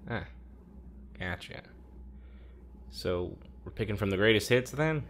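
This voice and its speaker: A man's voice: fairly low pitch, animated, a little slow, a bit bright. A man playing an anxious, hot-headed research subject in a naturalistic audio drama, stammering and informal. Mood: uneasy, awkward. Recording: faint background ambience.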